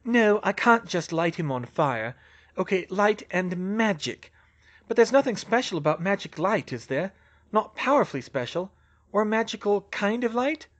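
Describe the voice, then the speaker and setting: A man plays a clever young witch heroine from a fantasy audiobook. She is defiant and highly strung, excitable as she thinks aloud, with a high voice and very lively intonation. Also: moderate pace, highs cut off. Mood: puzzling, eager.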